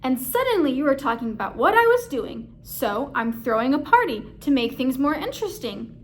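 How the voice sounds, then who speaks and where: A woman's voice: high-pitched, big pitch swings, moderate pace. A woman voicing an exasperated, put-upon male alligator from a children's picture book, grumbling with emphasis.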